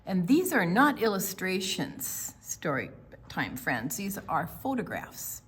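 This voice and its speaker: An older woman co-hosting a children's storytime, explaining and reading aloud deliberately, with emphasis on single words. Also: fairly low pitch, big pitch swings, fairly bright tone, slightly rough. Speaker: children's storytime co-host and reader.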